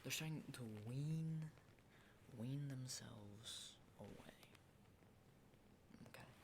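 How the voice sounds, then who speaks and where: A man playing a jittery, hardened zombie apocalypse survivor in a whispered ASMR roleplay, halting and repeating himself insistently in a breathy voice. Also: medium pitch. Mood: wary, explaining. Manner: halting, repetitive, insistent, often checking with "okay?". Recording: clearly noisy close whisper recording.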